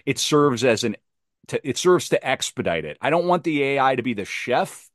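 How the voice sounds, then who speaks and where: A man's voice: mid-range voice, sing-song, normal speed, no echo, studio quality. A man chatting casually on a podcast, emphatic and given to repeating his phrases for effect.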